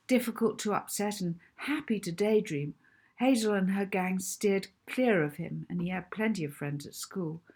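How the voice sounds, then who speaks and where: Husky woman reading a children's story. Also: medium pitch, mellow. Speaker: author reading own book. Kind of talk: expressive children's audiobook narration.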